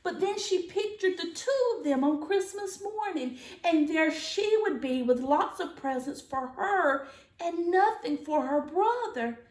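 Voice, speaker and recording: High-voiced woman reading a storybook, slow-paced. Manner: dramatic, expressive, with gasps and asides to listeners. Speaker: teacher reading a children's storybook aloud. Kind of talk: animated storybook read-aloud. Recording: roomy, echoey sound.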